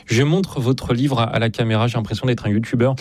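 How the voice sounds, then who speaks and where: A man hosts a radio talk show and asks his questions at a quick clip. He stumbles and restarts often. The sound is dry and close to the mic. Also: medium pitch, fairly even intonation, darkish tone, no room sound. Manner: hesitant, filler-heavy questions. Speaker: radio host. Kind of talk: radio interview. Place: radio studio.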